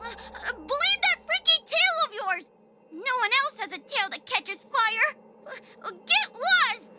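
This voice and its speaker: A mean, skittish child bully in an anime game, scornful and taunting. The voice is extremely high and swoops up and down, over clearly heard music. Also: female voice, very animated, slow, heavily acted. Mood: scornful, scared. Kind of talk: anime video game voice acting. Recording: clear background music under the voice.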